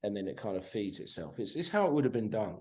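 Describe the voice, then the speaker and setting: A man in his forties, a podcast guest on a video call, talking in a sweary, animated way and tagging his points with "right?". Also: on the low side, fast talker. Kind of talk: casual conversation.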